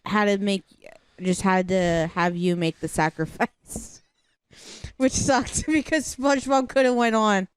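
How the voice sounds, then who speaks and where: A woman chatting casually as a podcast co-host, expressive, slangy and playfully dramatic. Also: mid-range voice, measured pace, clean tone, no room sound. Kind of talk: casual chat.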